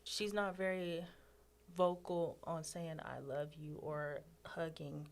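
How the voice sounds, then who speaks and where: A young woman guest in a casual chat who talks in a hesitant, halting way, often stopping and restarting. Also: low voice, little pitch movement. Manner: hesitant, halting, soft-spoken.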